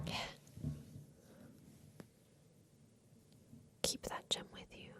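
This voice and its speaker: Woman acting a slow, whispering secretive attic girl. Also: a little high. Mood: urgent, hushed. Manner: slow, hushed, deliberate, repeats phrases. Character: captive girl in a haunted attic, secretive, tender creator. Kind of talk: whispered ASMR roleplay.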